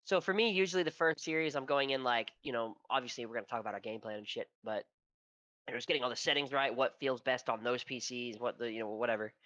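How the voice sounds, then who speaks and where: Male co-host, nasal and stuffy, sharp tone, dull lo-fi sound, swears and rambles. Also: medium pitch, quick pace, no room sound. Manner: profane, rambling storyteller. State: stuffy from allergies. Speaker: podcast co-host. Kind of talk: casual esports podcast chat.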